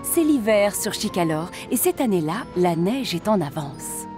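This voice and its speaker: A woman's voice: medium pitch, big pitch swings, normal speed, over music. A woman narrating a children's story in a scripted storytelling style.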